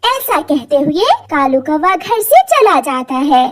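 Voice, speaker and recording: Woman, children's storybook narrator, extremely high pitch, lively swooping intonation. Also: slow pace.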